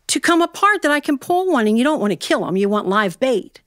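A woman's voice: on the high side, very animated, brisk, a bit bright, dry recording, studio quality. A woman storyteller voicing an eager, obedient young girl with an American accent, earnest and emphatic. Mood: exasperated. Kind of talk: live storytelling character voice.

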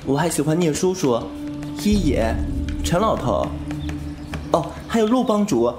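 A man plays a quick-witted young swordsman in a wuxia audio drama. His delivery is highly animated in pitch, teasing and sardonic, with a bruised pride underneath. Music runs clearly behind the voice. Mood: breezy, teasing. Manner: flippant, sardonic.

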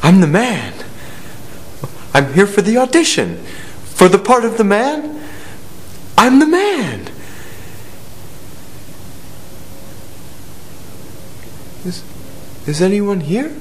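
A nervous young actor, played by a young man, who talks high and slow, with pitch that leaps around and stammers. His voice rings in a roomy stage space over a faint hiss. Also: very animated, exaggerated voice. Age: young adult. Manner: stammering, anxious, prone to outbursts. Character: young nervous male actor, anxious and excitable. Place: small dark theater stage.

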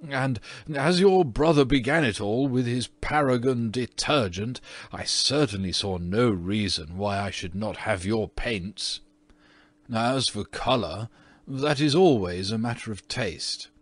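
A man's voice: medium pitch, a little slow, no echo, close to an everyday voice. Man putting on a pompous, petulant old English ghost. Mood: meek, defensive. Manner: pompous, indignant, theatrical. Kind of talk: storybook character reading.

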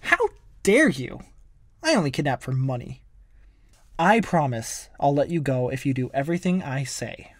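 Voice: medium pitch, sing-song, moderate pace, no echo, clean studio sound. A performer voicing a wisecracking, manic masked antihero in a comic-book dub, flippant and theatrical but caring underneath. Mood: flippant, joking. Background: background music.

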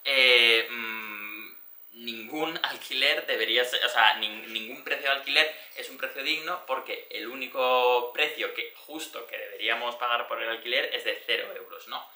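Young male activist from Spain, Castilian-country accent, mid-pitched, very bright and explanatory, echoey room. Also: normal speed. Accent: Spanish from Spain. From Alicante, Spain. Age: young adult. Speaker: tenants' union activist, speaker. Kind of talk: informal political presentation. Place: indoor social-centre room before an audience.

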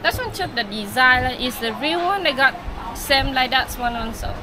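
A woman's voice: sits high, lively intonation, moderate pace, over music, a crowd in the background. A woman in her twenties with a Vietnamese accent, selling casually in broken English at a market stall. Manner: broken, non-native English. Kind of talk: casual sales chat. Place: busy covered market stall. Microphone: vlog camera at conversational distance. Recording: noisy market background.

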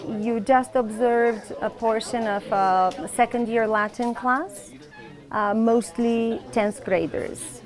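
A middle-aged woman with a Bulgarian accent, a Latin teacher answering interview questions in a classroom in a thoughtful, measured way. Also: a little high, fairly even intonation. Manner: thoughtful, measured, with soft fillers.

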